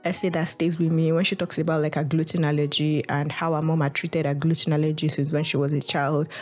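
A woman's voice: deep voice, darkish tone. Rambling young Nigerian woman. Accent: Nigerian English. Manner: rambling, heavy use of "like" and restarts. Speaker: podcast host. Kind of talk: casual reflective monologue.

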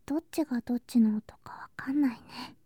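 A woman playing a sweet, clingy younger girl cousin in an ASMR voice drama, soft and breathy, often whispering, with a cutesy, affectionate manner. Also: on the high side, fairly quick, no room sound, clean studio sound. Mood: drowsy, content. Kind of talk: Japanese ASMR situation voice drama. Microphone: close ASMR microphone.

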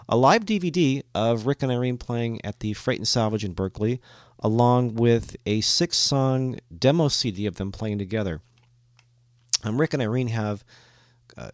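Hoarse, low-voiced man hosting a podcast. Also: dry recording, studio quality. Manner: rambling, with frequent restarts and fillers. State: hoarse from a cold. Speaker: podcast host. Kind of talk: casual solo podcast talk.

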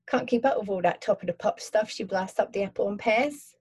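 A woman's voice: medium pitch, fairly quick, a little mellow, breathy edge, lightly acted. A woman doing a chummy Cockney cabbie. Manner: chummy rhyming slang. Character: cheeky Cockney cabbie, middle-aged man.

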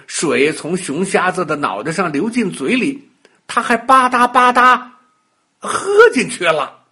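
A man telling a children's bedtime story in a dramatic, expressive way, with exclamations and sound words. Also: high voice, breathy edge.

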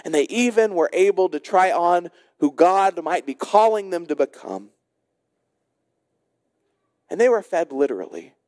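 An American man, a pastor, delivering a sermon in deliberate short phrases with rhetorical repetition. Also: high-pitched, big pitch swings, a little mellow, dry recording, studio quality. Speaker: senior pastor.

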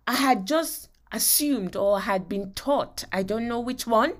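A woman's voice: on the high side, unhurried. Emphatic Nigerian woman teaching on faith. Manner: emphatic, repeats phrases and checks in with listeners. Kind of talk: motivational faith teaching with personal testimony.

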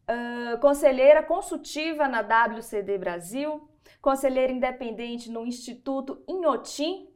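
A woman from Bahia hosting an interview podcast, friendly and measured as she reads introductions. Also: high voice. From Bahia, Brazil. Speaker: podcast host.

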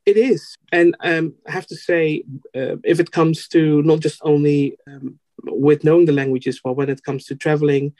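A man's voice: on the high side, fairly even intonation, moderate pace, a little mellow, no room sound. A Dutch man whose first language is Dutch, answering interview questions as a podcast guest, hesitant with many fillers and restarts. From Netherlands.

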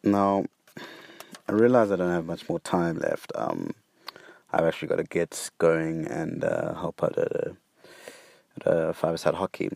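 A man's voice: sits low, expressive, measured pace, gravelly, long pauses, darkish tone, no room sound, studio quality. A young man hosting his own podcast, talking earnestly and hesitantly, stumbling over repeated words and fillers. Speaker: podcast host. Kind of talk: reflective solo podcast monologue.

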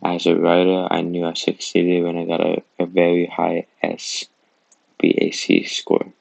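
Deep, gravelly, flat teenage boy. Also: unhurried. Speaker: student. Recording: dull, dry lo-fi recording.

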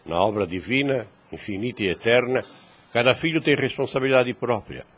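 A man's voice: mid-range voice, brisk, fairly bright tone, breathy edge. An actor playing a wise, fatherly priest in a radio drama, solemn and preachy, in formal archaic phrasing.

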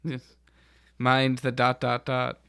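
Smooth, even-toned man on a podcast. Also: mid-range voice, takes it slowly, no echo, clean studio sound. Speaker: podcast panelist. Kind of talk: casual podcast discussion.

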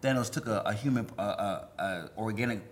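A man's voice: fairly low pitch, fairly quick. A man on a podcast panel discussing movies casually, often repeating phrases as he goes.

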